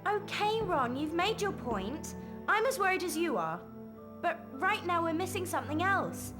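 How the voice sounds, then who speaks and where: A woman's voice: very high voice, sing-song, moderate pace. An actress playing an earnest, bossy schoolgirl witch in a video game, decisive. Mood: brisk, concerned. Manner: decisive, matter-of-fact. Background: music.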